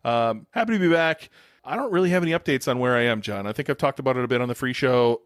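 A man's voice: mid-range voice, animated, fast talker, no echo, studio quality. An American man co-hosting a podcast in casual, teasing, irreverent banter. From United States, Wisconsin. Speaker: podcast co-host.

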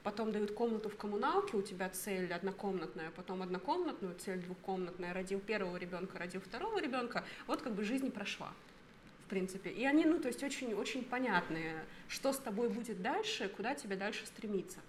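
A woman's voice: medium pitch, fast, a little hiss or hum. Thoughtful thirty-year-old woman podcaster. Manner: thoughtful, explains at length with fillers. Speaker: podcast co-host. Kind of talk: casual chat.

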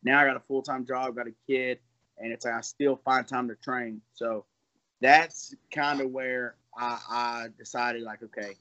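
A man's voice: medium pitch, fairly even intonation, unhurried, sharp tone, lo-fi sound. Young man talking loosely about fighting. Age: 20s. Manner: loose, rambling, laughs between thoughts. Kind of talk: casual interview talk.

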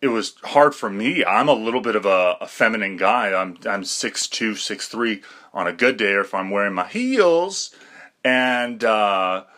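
A man's voice: on the low side, animated, measured pace, pushed and bright. A young man talking through a rambling, self-deprecating podcast monologue, full of fillers and restarts. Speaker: podcast host.

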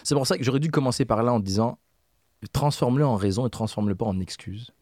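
A man's voice: medium pitch, quick pace, a little mellow, dry recording. Reflective Québécois man, dry sound. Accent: Québécois French. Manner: reflective, self-critical, laughs easily. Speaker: podcast co-host. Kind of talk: casual podcast chat.

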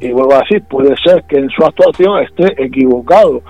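A man's voice: mid-range voice, a little fast, dry recording. A man with a Murcian Spanish accent speaking as a regional politician in a radio interview, insistent and often restarting and repeating his points. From Puerto Lumbreras, Murcia region, Spain. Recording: dull lo-fi radio with music behind.

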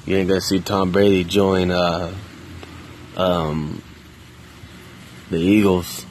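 A man talking to himself in a park in a quiet, subdued, hesitant way, with many restarts and casual swearing. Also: sits low, little pitch movement, takes it slowly, a little hiss or hum, poor audio. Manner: loose and conversational with casual swearing. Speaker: vlogger. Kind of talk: casual solo monologue. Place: outdoors in a park.

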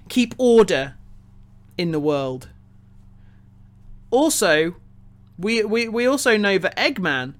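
Male podcast host, quite high voice, very bright tone, halting starts, repeated words, casual review chat.

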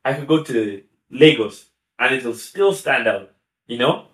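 A man's voice: mid-range voice, unhurried, a bit bright. A man speaking as a podcast guest, chatty and laughing often, with restarts and asides. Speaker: guest YouTuber. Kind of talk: casual podcast conversation. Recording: echoey room.

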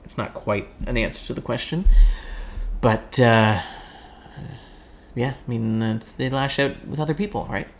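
Slow-talking Canadian man, casual and joking. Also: medium pitch, slight background noise. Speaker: podcast guest. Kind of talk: casual interview answers.

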